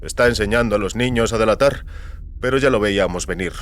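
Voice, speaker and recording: A man voicing an older, genial businessman, brisk and cordial, in a science fiction audio story. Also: on the low side, lightly acted. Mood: brisk, informative.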